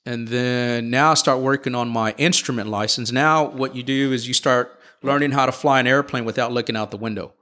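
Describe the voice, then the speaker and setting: A middle-aged man talking through his flight training in a casual interview. He explains things patiently and in a relaxed way, pausing on "uh" and "um" and restarting his sentences as he goes. His voice sits at an ordinary middle pitch. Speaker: airline captain guest.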